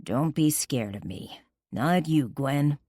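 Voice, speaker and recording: An enraged rock-star man barks orders and swears, as a woman narrator puts him on. The voice is pushed up and loud, thundering rather than deep. It rises and falls widely, recorded close and dry for an audiobook. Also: sing-song, measured pace, no room sound, exaggerated voice. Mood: fierce. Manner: shouting, cursing, commanding. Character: furious possessive rock musician man.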